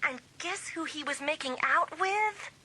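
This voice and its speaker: Woman playing high, lively, gossipy teenage girl. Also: unhurried, heavily acted. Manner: slangy, expressive, teasing. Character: sassy, gossipy American-style high school girl. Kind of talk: dramatised audiobook dialogue.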